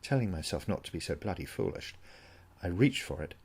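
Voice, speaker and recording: A man reads a haunting first-person story as a dramatic audiobook. His voice is fairly low, with a gravelly creak and a husky grain. He takes his time, keeping the delivery brooding and full of suspense. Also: lively intonation, brisk, a little mellow. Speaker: story narrator.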